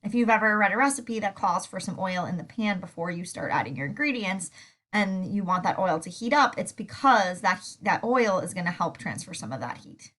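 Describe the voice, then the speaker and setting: A woman teaching a recorded food science lecture while stuffed up with a sore throat, relaxed and full of fillers. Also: medium pitch, brisk. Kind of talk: recorded lecture over slides.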